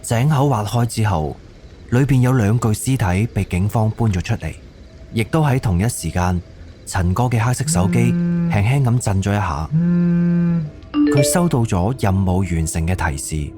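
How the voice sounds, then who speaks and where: A man's voice: fairly low pitch, expressive, normal speed, mellow, soft background music. A man with a Cantonese accent narrating a scripted story for an audio drama.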